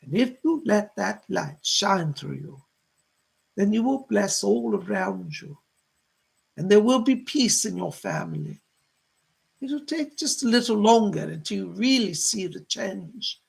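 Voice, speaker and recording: A man voicing a gentle, motherly female spirit guide in a trance séance, effusive and soothing, with genteel endearments and a husky, creaky voice. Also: sits high, sing-song, unhurried, heavily acted. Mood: benevolent, soothing.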